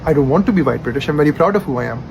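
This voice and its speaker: A man's voice: fairly high pitch, expressive, racing, vocal fry, a little mellow. A man from Pakistan with a Pakistani accent, a doctor candidly explaining in a vlog why he moved abroad. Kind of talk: personal vlog testimony. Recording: background noise.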